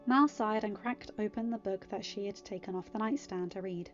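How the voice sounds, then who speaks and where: A woman reading a story aloud as an expressive amateur podfic narrator. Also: mid-range voice, normal speed, highs cut off. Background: music.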